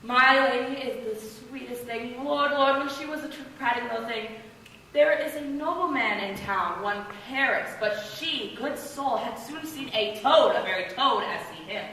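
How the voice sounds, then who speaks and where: A woman's voice: a little high, moderate pace, sharp tone. A woman acting a garrulous, weary, teasing old nurse in a Shakespeare play, theatrical and complaining. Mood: chatty, fond. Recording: echoey hall, distant mic, faint noise.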